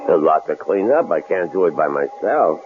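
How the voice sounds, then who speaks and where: An actor playing a menacing, bullying killer who taunts in folksy, mocking commands, in a radio suspense drama. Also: male voice, a bass voice, sing-song, brisk. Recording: muffled, noisy old radio recording.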